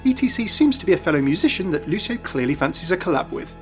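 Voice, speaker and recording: Male YouTube host, scripted gaming narration, quick pace, slightly high voice, faint music behind. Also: big pitch swings.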